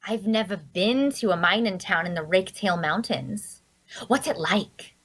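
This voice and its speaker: A woman's voice: mid-range voice, unhurried, a bit bright, slightly rough. A woman playing a sly young female thief in a fantasy drama, with a coy, teasing, conversational way of talking. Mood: curious, coy. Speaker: voice actor. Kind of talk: scripted character dialogue at a table read.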